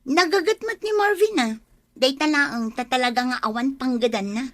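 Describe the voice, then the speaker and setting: Radio drama role: struggling young wife and mother, Ilocano accent, high pitch, defensive, close dry sound , played by a woman. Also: moderate pace, no echo, lightly acted. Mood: nagging, worried.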